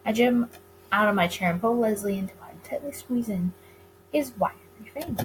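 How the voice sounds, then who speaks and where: A woman reading a visual novel aloud as dramatic narration for a gaming video, with expressive delivery. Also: medium pitch, moderate pace, slight background noise, low-quality recording.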